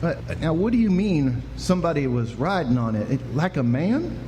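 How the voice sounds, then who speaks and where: An actor playing a folksy, skeptical Texan sheriff in a live Western radio drama, with a drawling rustic way of talking. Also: male voice, fairly high pitch, big pitch swings, normal speed, dark tone, faint music behind. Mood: calming, wry. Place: live stage performance indoors. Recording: noisy live stage, reverberant hall.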